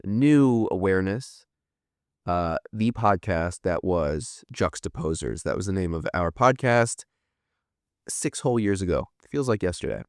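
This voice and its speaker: A man's voice: sits low, lively intonation, unhurried, no room sound, studio quality. A man in his thirties from the United States hosting a podcast, chatting casually and rambling, swearing offhand as he reads out viewer comments. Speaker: podcast host. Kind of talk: casual livestream chat reading viewer comments.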